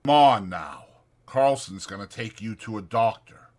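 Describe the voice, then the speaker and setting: A man voicing a calm, authoritative ranch hand, folksy and firm, in an audiobook. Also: fairly low pitch, measured pace. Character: calm, authoritative ranch hand, fair-minded and plain-spoken.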